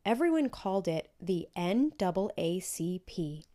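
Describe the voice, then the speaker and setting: A woman's voice: lowish voice, sing-song, a little slow, mellow. A woman narrating a children's storybook in a scripted read-aloud.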